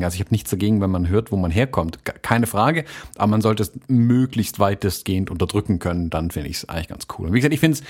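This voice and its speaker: A man's voice: lowish voice, a little mellow. A man from Swabia with a light Swabian accent, chatting casually as a podcast co-host, laughing often and poking fun at himself. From Swabia, southern Germany.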